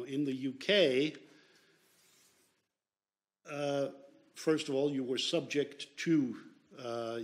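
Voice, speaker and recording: A man from Austria in the audience, asking a speaker a question in a measured, careful way. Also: mid-range voice.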